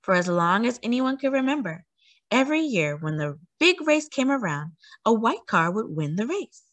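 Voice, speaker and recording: Lively woman reading a storybook aloud. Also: fairly high pitch, moderate pace, dry recording. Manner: animated, emphatic storytelling delivery. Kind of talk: expressive read-aloud for children.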